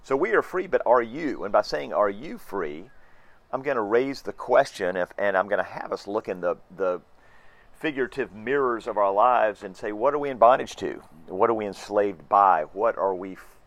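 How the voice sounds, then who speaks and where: Hoarse pastor preaching. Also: male voice, on the low side, expressive, moderate pace, fairly bright tone, no room sound. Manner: earnest, reflective, with restarts and emphatic repetition. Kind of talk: sermon.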